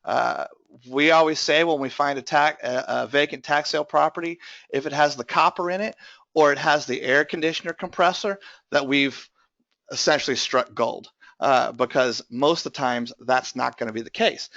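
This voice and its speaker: A man's voice: a little high, normal speed, gravelly, a bit bright, no room sound, dull audio. Man presenting with a strained voice. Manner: explanatory, many filler uh's. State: voice strained from bronchitis. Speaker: tax lien investment presenter. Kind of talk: webinar presentation.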